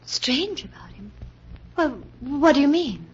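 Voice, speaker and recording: An actress playing an anxious, gentle sister who narrates a 1940s radio suspense tale with earnest, dramatic pauses. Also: female voice, high voice, sing-song, exaggerated voice. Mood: uneasy. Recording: dull, noisy 1940s radio recording.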